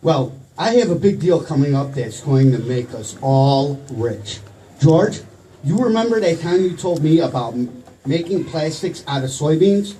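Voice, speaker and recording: A man's voice: a little high, lively intonation, a little slow, mellow. An actor playing a breezy, boastful young man on a telephone call in an old-time radio play. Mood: hearty. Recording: distant stage mic, faint noise.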